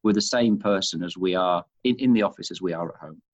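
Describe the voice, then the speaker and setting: A man from Manchester with a Northern English accent, answering interview questions in a thoughtful, halting way, restarting phrases as he goes. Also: sits low. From Manchester, England. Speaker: interview guest, chief executive of leadership institute. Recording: dull, muffled lo-fi audio.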